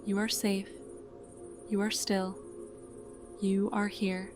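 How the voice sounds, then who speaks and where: A woman narrates a scripted sleep meditation, calm and soothing. Her delivery is very slow and unhurried, and her pitch stays flat at a medium height. Music plays clearly behind her voice.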